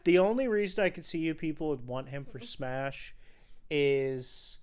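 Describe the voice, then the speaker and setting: Clear, higher-voiced man hosting a podcast. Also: lively intonation, a little slow, darkish tone. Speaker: podcast host. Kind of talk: casual film podcast chat. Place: room at home. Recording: echoey room.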